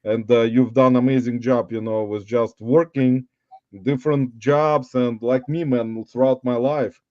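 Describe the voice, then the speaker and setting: A man's voice: mid-range voice, fairly even intonation, a little mellow, no room sound. Man, forties, Russian accent, rambling livestream host, muffled lo-fi audio, dry sound. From Uzbekistan. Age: 40s. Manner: rambling, halting, addresses viewers as guys. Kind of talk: casual livestream chat.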